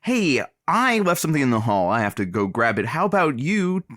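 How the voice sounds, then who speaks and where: An actor playing a cocky, wisecracking, scruffy radio station slacker in a scripted audio comedy, sardonic and joking. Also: male voice, medium pitch, big pitch swings, brisk, fairly bright tone, no room sound, studio quality. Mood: excited.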